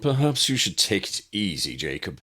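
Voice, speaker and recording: A well-to-do young wizard gentleman of the county set, played by a male narrator. He sounds refined and wry, with teasing, lively intonation over a low register. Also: moderate pace, slightly rough, exaggerated voice. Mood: wry advice.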